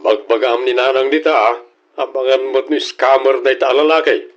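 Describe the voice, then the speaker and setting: An actor with an Ilocano Filipino accent playing a worried adult son in an audio drama, in a lo-fi recording. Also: male voice, medium pitch, steady tone, moderate pace, soft background music, lightly acted.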